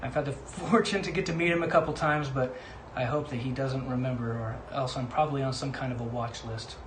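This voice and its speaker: A man's voice: medium pitch, steady tone, a little fast. A man giving a casual, personal testimonial as a guest contributor. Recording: echoey room with clear background noise.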